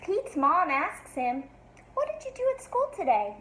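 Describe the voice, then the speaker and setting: A woman reading a picture book to young children like a teacher, expressive and sing-song, with encouraging questions. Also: high voice, measured pace, slight background noise. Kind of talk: read-aloud for young children, asking questions.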